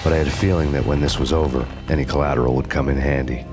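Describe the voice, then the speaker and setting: A weary noir detective narrating his own downfall. The voice sits extremely low and barely moves, dry and sardonic in every line, with a steady music score underneath. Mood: grim. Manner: dry, sardonic, measured delivery. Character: bitter, weary male cop on a revenge path. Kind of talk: hard-boiled noir narration.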